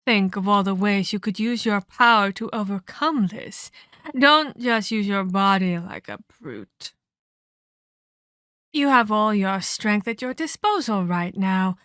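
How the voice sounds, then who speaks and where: A woman's voice: medium pitch, takes it slowly, a bit bright, clean tone, no room sound, clean studio sound. A woman playing a cruel, domineering ancient vampire in a dramatic ASMR roleplay, with a menacing, condescending, theatrical delivery and whispered emphasis. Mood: taunting, commanding. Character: cruel, domineering ancient female vampire scolding her captive pupil.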